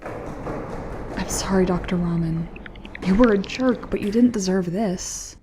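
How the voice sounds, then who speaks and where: A woman's voice: mid-range voice, slow pace, noisy background, close to an everyday voice. Woman playing a wry young grad student scientist. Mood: shaken, gentle. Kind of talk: audio drama acting.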